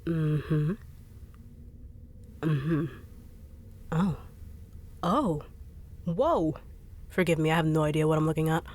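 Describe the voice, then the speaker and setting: Low-voiced blunt, sarcastic woman. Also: takes it slowly, long pauses, a little mellow. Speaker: voice actor in audio roleplay. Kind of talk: acted audio roleplay.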